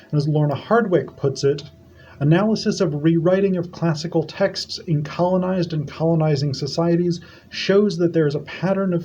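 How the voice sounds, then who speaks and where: A man reads aloud a prepared academic paper, formal and measured. His voice sits a little high but sounds mellow and warm. The audio is dull and lo-fi. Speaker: academic presenter. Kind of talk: reading a prepared conference paper.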